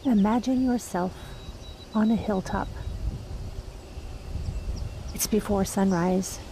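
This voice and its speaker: A woman guiding a meditation, speaking softly and unhurriedly with long pauses. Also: medium pitch, a little hiss or hum. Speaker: meditation guide. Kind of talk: guided meditation.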